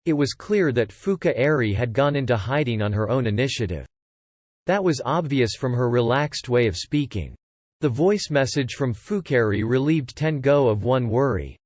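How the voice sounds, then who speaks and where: Lively, dry-recorded male story narrator. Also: mid-range voice, no echo. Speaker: audiobook narrator.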